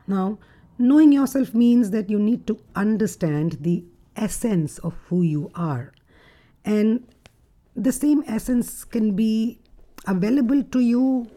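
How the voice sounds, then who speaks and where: A woman with a deep, gruff voice hosting a reflective solo podcast as a relationship coach, speaking in a measured, thoughtful way. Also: dark tone. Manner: measured, thoughtful delivery with occasional fillers.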